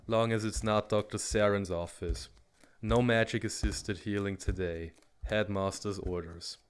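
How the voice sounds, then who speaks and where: A fairly low, smooth male voice for an easygoing medic at an academy, played by a man. He is breezy and teasing, full of slangy jokes, in comic drama style. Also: fairly even intonation, unhurried, lightly acted. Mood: dry, amused. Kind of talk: animated comic fan dub.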